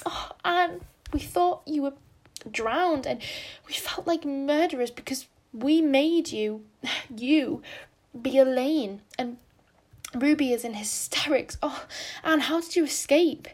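A woman voicing a breathless, tearful teenage girl in a storybook reading, rushed and emotional. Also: high-pitched, pitched above the performer's own voice. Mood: frantic relief.

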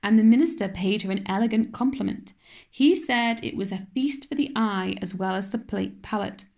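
A woman voicing an eager, chattering young girl who rushes her words and pleads. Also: medium pitch, creaky, a little mellow, lightly acted. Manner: breathless, rushing, pleading.

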